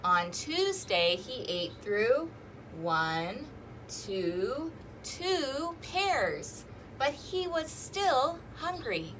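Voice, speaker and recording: A woman hosting a children's storytime, reading rhymes and picture books aloud in an animated, sing-song, exaggerated way. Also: high voice, very slow, slight background noise, poor audio. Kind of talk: interactive children's read-aloud with rhymes and fingerplay.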